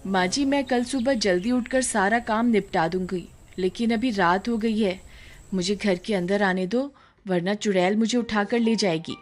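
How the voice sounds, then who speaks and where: A woman's voice: medium pitch, moderate pace, natural voice. A woman playing a young, submissive village daughter-in-law in a cartoon story, speaking politely and pleadingly. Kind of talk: Hindi animated horror story acting.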